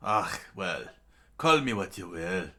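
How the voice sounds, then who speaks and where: A man's voice: low-pitched, big pitch swings, slow pace, pushed and bright, full character voice. Man acting a pompous, haughty male pirate warlord. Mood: smug. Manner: pompous, disdainful. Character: haughty male pirate warlord, self-regarding hero-villain.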